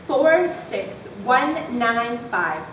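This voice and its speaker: A woman's voice: very animated, heavily acted. A woman playing a stubborn, literal-minded schoolboy in an amateur readers' theatre performance, pleading and protesting. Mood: earnest counting. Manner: protesting, counts aloud slowly. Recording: distant, very echoey room, noisy with rumble.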